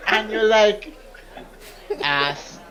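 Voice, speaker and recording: A man's voice: high-pitched, extremely slow, a crowd in the background. A young man co-hosting a podcast, telling stories with lots of laughter and quoted voices. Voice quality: laughs often, storytelling, quotes people in put-on lines. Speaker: podcast co-host. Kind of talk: casual chat.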